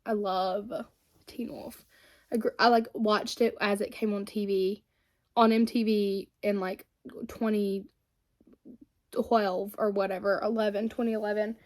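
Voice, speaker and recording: A woman's voice: mid-range voice, little pitch movement, measured pace. A woman, chatting casually and rambling while she does her makeup. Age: 20s. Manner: chatty and rambling, many fillers. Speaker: beauty vlogger.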